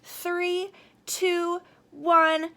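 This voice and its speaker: A woman's voice: very high voice, little pitch movement, extremely slow, fairly bright tone, pitched above the performer's own voice, exaggerated voice. A woman voicing a showy, theatrical kitchen director who announces a contest emphatically in a storybook. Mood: loud, excited.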